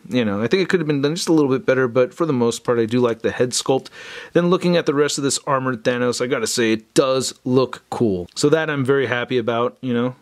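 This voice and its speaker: A man hosting a casual, unscripted review video, talking in a chatty, informal, slangy way. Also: medium pitch. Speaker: toy review video host.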